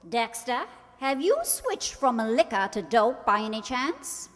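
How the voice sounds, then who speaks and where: A woman acting a sharp-tongued young society bride in a radio play, imperious and sardonic. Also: fairly high pitch, very animated, measured pace. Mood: indignant. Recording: echoey hall, stage radio performance.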